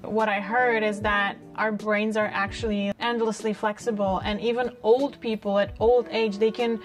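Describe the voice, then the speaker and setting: Czech woman late 20s, native czech speaker, chatting in an interview, informal n reflective, mid pitch, faint music behind. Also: little pitch movement, moderate pace. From Czech Republic, small town. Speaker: interview guest, polyglot. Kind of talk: casual conversational interview. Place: small bright living-room style set, indoors.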